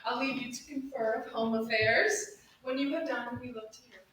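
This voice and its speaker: A woman's voice: a little high, moderate pace, a bit bright, slight background noise, lightly acted. A woman in a staged Shakespeare reading playing a playful, gracious young noble lady in a courtly, theatrical manner. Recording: distant, very echoey room recording.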